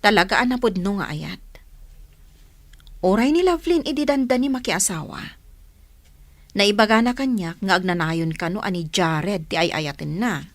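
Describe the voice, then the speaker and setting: A woman's voice: medium pitch, lively intonation, moderate pace, pauses often, no room sound. A woman acting a frank, caring Filipino elder sister in a radio drama. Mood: frank, concerned.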